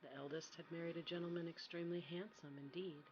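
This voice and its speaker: A woman softly reading a fairy tale as a quiet ASMR bedtime storyteller. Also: fairly low pitch, steady tone, quick pace, lo-fi sound, slight background noise. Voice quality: soft, quiet.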